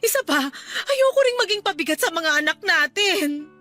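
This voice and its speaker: A woman's voice: extremely high pitch, expressive, moderate pace, sharp tone, no echo, faint music behind, heavily acted. A woman playing an apologetic, self-sacrificing wife and mother in a radio drama, with a pleading manner. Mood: apologetic, reluctant. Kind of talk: Tagalog radio drama acting.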